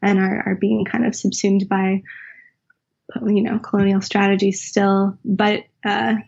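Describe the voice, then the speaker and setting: Husky, gravelly woman speaking thoughtfully. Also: mid-range voice, level tone, unhurried, a little mellow. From United States. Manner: thoughtful, searching, with restarts and filler. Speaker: writer and teacher, interview guest. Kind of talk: reflective conversational interview. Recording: dull lo-fi recording.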